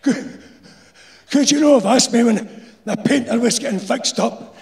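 Man acting a wheezing Glaswegian pipe insulator, pitched high. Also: a little slow. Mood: irritated. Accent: Glaswegian Scottish. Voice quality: wheezing, mumbling. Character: wheezing, irritable pipe insulator, dying smoker selling goods. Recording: echoey theatre stage.

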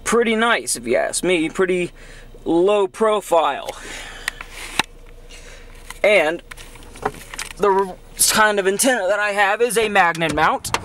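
A man's voice: high-pitched, animated, takes it slowly, long pauses. A young man in his late teens giving a casual, unscripted hands-on demonstration, recorded on a handheld camera inside a car. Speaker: hobbyist vlogger demonstrating his CB radio. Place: inside a car, outdoors parking area. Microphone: handheld camera's built-in mic. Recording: noisy camera audio with vehicle ambience.

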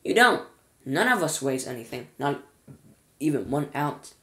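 A woman reading aloud and voicing an eccentric, excitable man who pilots a flying elevator. He is fussy, bossy and quick to exclaim. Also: deep voice, heavily acted.

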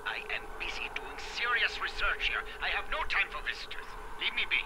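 A man's voice: high-pitched, very animated, fairly quick, noisy background. A man playing an irritable, reclusive, eccentric research doctor in a video game, grumbling curtly over an intercom. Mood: annoyed. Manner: curt, grumbling, dismissive.

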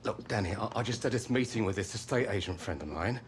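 A man's voice: fairly low pitch, animated, fast, slight background noise, natural voice. A man playing a slick, pushy middle-aged football agent in a video game. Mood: persuasive. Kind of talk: video game cutscene dialogue.

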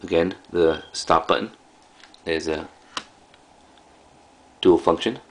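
A man casually showing items as a video host, speaking in halting, offhand short phrases. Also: low-pitched. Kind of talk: casual product showcase. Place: indoors in a room at home. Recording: clearly noisy home recording.

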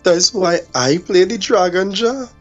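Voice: mid-range voice, unhurried, fairly bright tone, lo-fi sound, soft background music, natural voice. A performer voicing a smug, theatrical male millionaire villain with a Trinidadian accent and a taunting, drawling manner in a parody anime.

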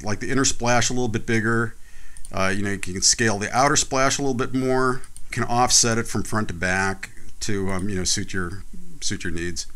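A man hosting a casual software tutorial, talking through a screen recording in a relaxed, chatty way with many fillers. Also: mid-range voice. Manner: relaxed, chatty, frequent "uh" and "you know".

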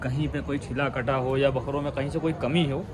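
A man's voice: mid-range voice, steady tone, fairly quick. A male host chatting eagerly while showing goats on a street at night, recorded on a handheld phone. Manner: enthusiastic, interjects praise often. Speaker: channel host. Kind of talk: casual goat showcase chat.

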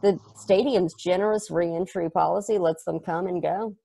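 A young man reading a rambling, excitable probe. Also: sits high, moderate pace, vocal fry, rough recording. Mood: chatty. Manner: enthusiastic, rambling, tangent-prone. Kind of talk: video-call table read. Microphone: video call.

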